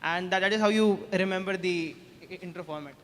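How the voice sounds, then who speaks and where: Young man hosting in a hall, high voice. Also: a little hiss or hum. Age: young adult. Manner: joking, teasing, quick repeated restarts. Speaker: senior student host. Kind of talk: casual stage banter with freshers. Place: large hall on stage.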